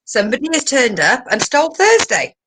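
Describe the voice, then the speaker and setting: A woman's voice: sits high, sing-song, brisk, fairly bright tone, slight background noise. A woman chatting casually and playfully over a video call as co-host. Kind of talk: casual chat.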